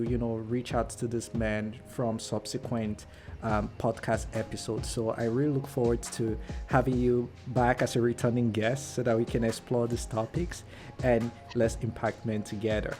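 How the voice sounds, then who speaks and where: A male podcast host with an average-pitched voice, encouraging and effusive in his thanks as he speaks to his guest and listeners. Faint music plays underneath him. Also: a little mellow. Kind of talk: casual interview talk.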